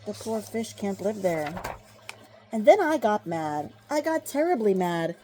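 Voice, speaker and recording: A woman narrates a children's storybook in rhyme. Her voice is soft-edged and warm in tone, at a medium pitch. She reads with dramatic, rhythmic expression. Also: very animated, a little slow, a little hiss or hum. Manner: dramatic, rhythmic verse reading. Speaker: storybook reader.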